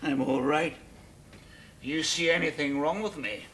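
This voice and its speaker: A drunken Irish lodger with a high, bright, gravelly voice that is husky at the edges. He brags loudly, laughs at his own words and swings into shouting. This is an old stage-style radio drama. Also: normal speed, a little hiss or hum. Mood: drunken bluster. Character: drunken boastful Irish lodger, middle-aged man.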